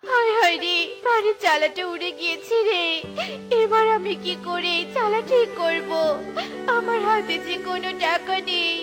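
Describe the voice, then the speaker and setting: Woman voicing a high-pitched gentle mother bird. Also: normal speed. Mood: distressed. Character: gentle, honest, poor mother bird, patient and pleading. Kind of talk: Bengali fairy-tale cartoon dubbing. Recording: music bed behind the voice.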